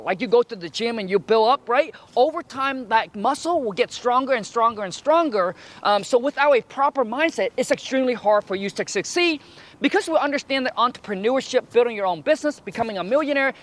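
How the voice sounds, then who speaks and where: A man from Vietnam, giving motivational business advice to camera in an earnest way, often tagging his points with "right?" and "my friend.". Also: very high voice.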